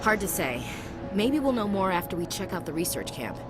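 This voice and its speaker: A tough young soldier played by an actress in a sci-fi game cinematic. She speaks in a medium-pitched voice with earnest military directness, with clear music behind her. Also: very animated, fairly quick, lightly acted. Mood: focused, resolute. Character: tough, dutiful young female soldier, battle-shaken. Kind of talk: video game cinematic acting.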